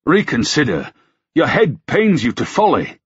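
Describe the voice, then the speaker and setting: A man's voice: fairly low pitch, animated, moderate pace, a bit bright, husky, muffled recording, pitched above the performer's own voice. A man voicing an earnest, proud medieval knight, deferential and alarmed, in archaic audiobook dialogue. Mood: desperate. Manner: humble, archaic, pleading formality.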